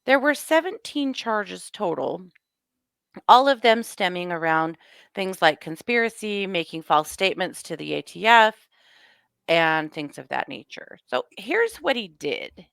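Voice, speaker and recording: A hoarse woman co-hosting a podcast, telling a story in casual chat with wry joking asides. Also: on the low side, big pitch swings, measured pace, fairly bright tone, no room sound, studio quality. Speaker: co-host.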